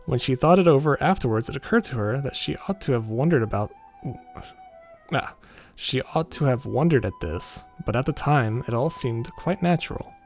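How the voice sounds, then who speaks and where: A man's voice: mid-range voice, expressive, normal speed. Man casually reading a visual novel, music behind. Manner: informal, stumbles over text and rereads. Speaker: gaming video host reading a visual novel aloud. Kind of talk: casual read-aloud with commentary. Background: background music.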